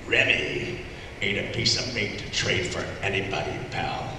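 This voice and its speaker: An actor voicing a gruff, sarcastic, threatening male mutant fighter at a live table read of an animated series. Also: low-pitched, measured pace. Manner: tough, threatening. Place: large convention hall, live on stage. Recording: echoey hall PA from far off, noisy.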